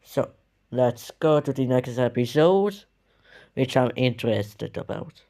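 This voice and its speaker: A man reacts casually to a video in a very slow, halting way. His talk is informal and sweary. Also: mid-range voice, animated, mellow.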